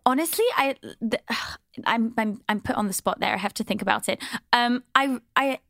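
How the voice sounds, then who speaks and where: A woman's voice: on the high side, moderate pace, a bit bright, no room sound, studio quality. A young woman from Switzerland chatting casually as a podcast guest, blunt and opinionated, swearing freely. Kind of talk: casual chat.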